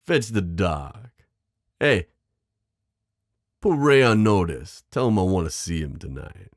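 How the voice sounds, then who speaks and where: A man voicing a smooth, possessive mafia boss in an ASMR roleplay, menacing yet protective, teasing the listener with pet names. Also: low-pitched, lively intonation, takes it slowly, no echo, clean studio sound, heavily acted. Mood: protective, stern. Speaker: audio roleplay performer. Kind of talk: ASMR roleplay drama acting.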